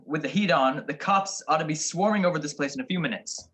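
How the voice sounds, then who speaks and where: A man's voice: on the high side, fast talker, fairly bright tone, natural voice. A man playing a wisecracking detective husband in a radio crime drama, dry and sardonic. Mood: wry.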